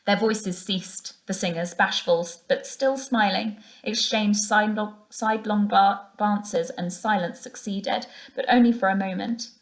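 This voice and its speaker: A woman's voice: medium pitch, fairly even intonation, unhurried, hardly any pauses. Woman reading a children's storybook aloud. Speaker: teacher reading to pupils. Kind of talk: expressive children's storybook narration.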